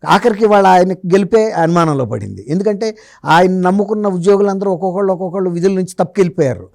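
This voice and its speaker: A man's voice: high voice, quick pace, gravelly, darkish tone, no echo, studio quality. A man giving conversational political analysis as a journalist being interviewed, explaining emphatically and posing rhetorical questions.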